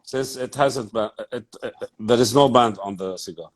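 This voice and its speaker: A man's voice: medium pitch, normal speed. A man hosting a casual interview, eager and chatty, backing up his guest with frequent yeahs. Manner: eager, backchannels a lot, repeats "yeah". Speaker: host, interviewer.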